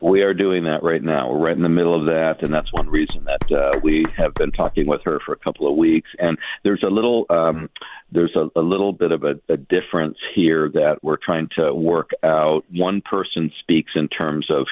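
A man talking through local news as a guest editor on a radio show, explaining things in a measured, halting, conversational way. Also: a bass voice, steady tone, slight background noise. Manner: explanatory, measured, hesitant phrasing. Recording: muffled narrow phone-like line.

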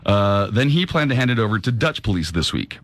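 A man's voice: lowish voice, sing-song, a little fast, no echo. A male show host reading news stories aloud and chatting about them in a studio. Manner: reads news stories then banters. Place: radio studio.

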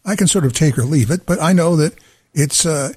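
A man's voice: on the high side, a little fast, darkish tone, slightly rough, dry recording. An older man hosting a radio segment, talking in a dry, wry, rambling way into a studio mic. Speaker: radio host. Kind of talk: casual radio banter.

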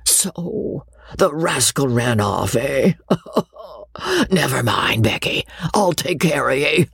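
A woman voicing a coarse, jovial old country baronet in an audiobook, with rough, gravelly, rustic speech. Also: rumbling low, sing-song, slow, no echo, clean studio sound, exaggerated voice. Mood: jovial, coaxing. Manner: rustic, colloquial speech, chuckling.

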